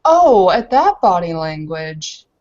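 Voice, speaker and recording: Woman, roleplay player, lowish voice, hesitant improvised narration, dull lo-fi audio. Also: sing-song, slow pace, darkish tone.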